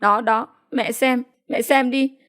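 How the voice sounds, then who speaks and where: A woman's voice: fairly high pitch, steady tone, slow, fairly bright tone, pitched above the performer's own voice. A woman voicing a whiny, feeble-acting, alarmist sister-in-law who wails and appeals for help in an audio story. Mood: plaintive. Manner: wailing, appealing to mother.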